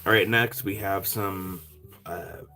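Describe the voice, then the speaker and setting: A man with a raspy voice, chatting casually as he sells rocks on a live stream and thanks buyers by name. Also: deep voice, steady tone, slow, sharp tone, soft background music. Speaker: live stream rock seller. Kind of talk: casual live sale chat.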